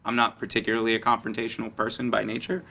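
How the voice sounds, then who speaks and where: A man's voice: on the low side, little pitch movement, rapid-fire, gravelly, bright, forceful tone, slight background noise. A man speaking earnestly as a panelist in a conversational discussion, often repeating words as he goes.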